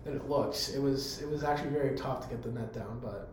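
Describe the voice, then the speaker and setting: A young man with a hoarse voice talks eagerly and loosely, piling up fillers and restarts. His voice sits at an average pitch and bounces around a strongly echoing room. A faint hum runs underneath. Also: quick pace, darkish tone. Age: young adult. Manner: eager, rambling, filler-heavy. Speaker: college public address announcer. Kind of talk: casual interview answers. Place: room on a college campus.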